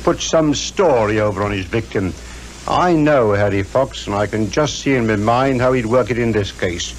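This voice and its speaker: An actor playing a blunt, knowing police inspector with a British accent in a 1950s radio drama, plain-spoken and clipped. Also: male voice, on the low side, normal speed, lightly acted. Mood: knowing, explanatory. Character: blunt, worldly, confident male police inspector. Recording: noisy 1950s radio recording.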